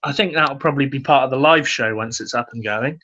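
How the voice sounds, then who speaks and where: Bright-voiced man, slightly dull podcast recording. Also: mid-range voice, fairly quick. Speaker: comedy podcast co-host. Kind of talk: casual comedic opinion chat.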